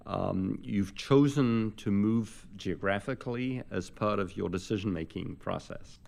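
Man, older, gravelly voice, low pitch, halting, interviewer. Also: darkish tone. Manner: halting, many fillers and restarts. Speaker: interviewer, professor. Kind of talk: conversational interview.

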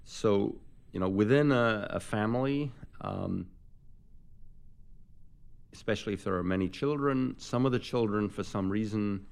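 Older male interviewer, gravelly and low, hesitant delivery full of ums and restarts. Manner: halting, many fillers and restarts. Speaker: interviewer, professor. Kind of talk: conversational interview.

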